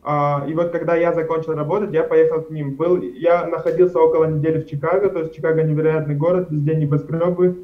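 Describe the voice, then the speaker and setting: A man's voice: fairly high pitch, level tone, fast, hardly any pauses. Young man on a dull video call. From Karachay-Cherkessia, Russia. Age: young adult. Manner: rambling, frequent fillers and self-corrections. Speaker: studio guest. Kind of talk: casual interview answers. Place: indoors at home, plain wall. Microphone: video call webcam.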